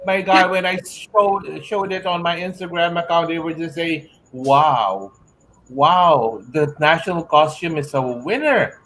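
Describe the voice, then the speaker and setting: A man's voice: fairly high pitch, a little slow, a bit bright, slight background noise, rough recording. Gushing male online show host. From Philippines. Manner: gushing, effusive, exclaims a lot. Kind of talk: online interview show hosting. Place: home room with shelves. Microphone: earphone headset mic on video call.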